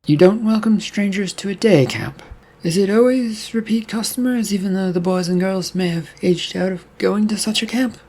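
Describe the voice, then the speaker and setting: A man's voice: sits high, moderate pace, darkish tone, pitched above the performer's own voice. A man voicing a skeptical, probing female detective partner.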